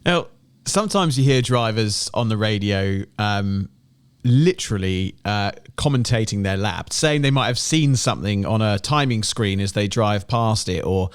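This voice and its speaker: A man's voice: lowish voice, lively intonation, normal speed, smooth, dry recording, studio quality. A man hosting a podcast, putting questions in a casual interview style. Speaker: podcast host.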